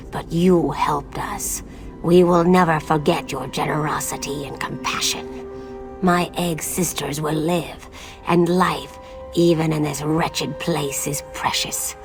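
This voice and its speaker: An actress playing a grateful, solemn reptilian woman in a fantasy game, speaking earnestly in a hushed, breathy way. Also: deep voice, steady tone, slow, heavily acted. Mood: solemn gratitude. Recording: background music under the voice.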